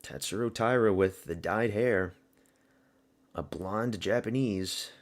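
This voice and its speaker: Lower-voiced man chatting on a stream. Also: slow pace. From American South. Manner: loose and profane, chatting with viewers. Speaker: streamer hosting a watch party. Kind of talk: casual live-stream commentary.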